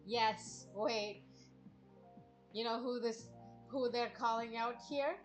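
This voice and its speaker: A woman's voice: fairly high pitch, steady tone, slow. A woman streamer doing a casual, slangy read-along, reading dialogue aloud and reacting with informal asides. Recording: music playing behind the voice. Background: background music.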